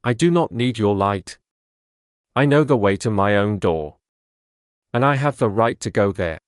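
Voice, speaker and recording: Brief: narrator doing an old farmer, slow and fatherly, dry close sound. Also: fairly low pitch, expressive, smooth, no echo. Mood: brusque. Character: kindly older male farmer, gruff but fond.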